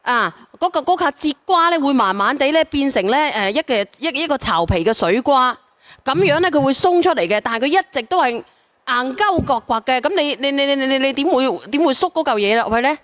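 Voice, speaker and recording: A woman's voice: sits high, animated, fairly quick, fairly bright tone. A woman hosts a casual call-in show. She talks bluntly and coarsely, stumbling into repeated words as she steers the conversation. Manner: blunt, coarse-mouthed, stammering repetitions. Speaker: live call-in show host. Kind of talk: casual unscripted call-in talk.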